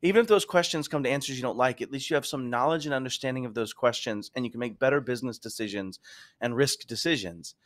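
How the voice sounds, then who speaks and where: A man's voice: medium pitch, fast, fairly bright tone. A man hosting a casual chat, talking in an enthusiastic, rambling way. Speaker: podcast host.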